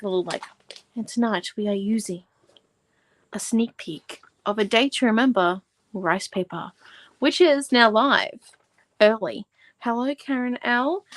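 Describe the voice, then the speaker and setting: A woman's voice: mid-range voice, a bit bright, no room sound. Chatty young woman teaching a craft class. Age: 20s. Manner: chatty and rambling, lots of "like" and asides. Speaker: host of a live art class. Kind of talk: casual livestream craft tutorial. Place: at home, in a warm room.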